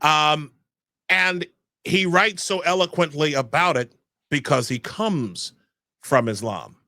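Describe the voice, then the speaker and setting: A young adult male host with a bright, forward voice pitched a little above average. He runs through announcements and introductions energetically, with plenty of filler sounds. The recording is dry and close. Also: takes it slowly, no echo. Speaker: show host. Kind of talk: live podcast hosting.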